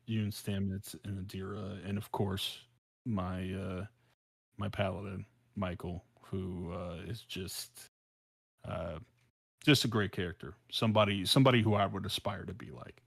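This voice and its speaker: Slow-talking, low-voiced American man. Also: fairly even intonation, vocal fry, husky, no room sound. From South Central Los Angeles, United States. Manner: hesitant, searching for words, repeating phrases. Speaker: podcast co-host. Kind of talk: casual podcast chat.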